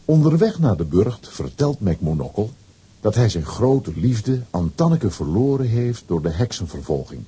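A man narrating a scripted children's audio story with a husky voice and wry asides. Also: lowish voice, very animated, moderate pace, warm tone.

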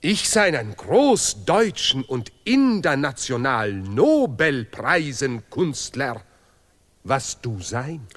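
An actor playing a grandiose, self-praising artist who recites ceremoniously in deliberately broken German, in a stylised radio play. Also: male voice, mid-range voice, very animated, a bit bright, full character voice. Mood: boastful. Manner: pompous, ceremonious, declamatory.

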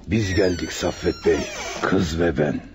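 An actor playing a menacing, greedy gang boss in a Turkish radio drama, with a husky voice and condescending manner. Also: male voice, deep voice, huge pitch swings, measured pace, music in the background, exaggerated voice. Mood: threatening. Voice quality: gravelly, husky. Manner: threatening, condescending, swaggering. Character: menacing, greedy, distrustful male gang boss and robbery mastermind. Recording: muffled lo-fi radio drama recording.

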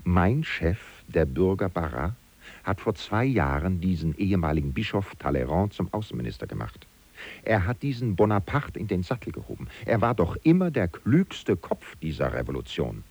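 A man playing a loyal, disillusioned political aide who muses and questions aloud to the listener in a German radio drama, with a gravelly voice. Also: very animated, moderate pace, a little mellow, exaggerated voice. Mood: resigned. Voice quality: gravelly, very deep. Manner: musing, chatty, rhetorical questions. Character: loyal, disillusioned male aide to a fallen politician, wryly indignant.

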